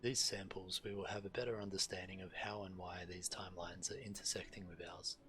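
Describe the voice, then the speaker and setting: A man reading quest dialogue aloud in a measured way while streaming a game. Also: low voice, fairly even intonation, pushed and bright.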